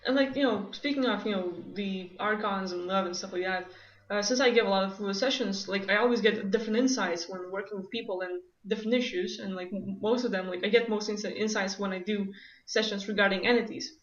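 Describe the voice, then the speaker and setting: A woman's voice: mid-range voice, fairly even intonation, brisk. A woman from Lithuania with a Lithuanian accent, hosting a casual conversation and laughing often. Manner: laughs often, many "like" fillers. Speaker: host. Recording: echoey, distant, dull sound.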